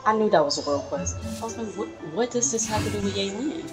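A woman's voice: medium pitch, animated, quick pace. A young adult woman giving blunt, sassy, casual reaction commentary while playing a video game. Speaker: gaming YouTuber. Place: indoor room at home. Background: game music.

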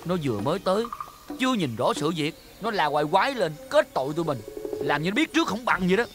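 A frightened poor young man being held captive in a period drama. His high voice whines and complains plaintively in a southern Vietnamese accent, over background music. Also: big pitch swings, fast. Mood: indignant.

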